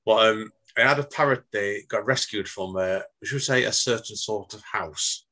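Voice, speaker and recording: A husky man in his late forties with a slightly low voice. He chats casually, calls people mate, and slows down when he reads his poetry. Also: sharp tone. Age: 40s. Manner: chatty, says mate, reads slow and measured. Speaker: podcast host. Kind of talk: casual chat and poetry reading.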